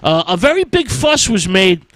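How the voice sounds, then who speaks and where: Halting radio host man, lively pitch. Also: dry recording. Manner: frequent "uh" fillers, halting delivery. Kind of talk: radio film-history talk.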